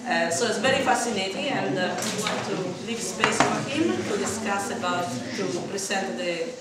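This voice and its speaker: A woman's voice: mid-range voice, lively intonation, normal speed, over a noisy crowd. A woman introducing a seminar speaker informally, with fillers. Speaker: seminar host. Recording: echoey hall from far off, noisy.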